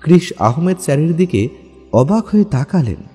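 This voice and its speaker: A male narrator tells a detective story with an animated, dramatic delivery. His mellow voice carries a long reverb, and music plays faintly underneath. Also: a little high, moderate pace, breathy edge.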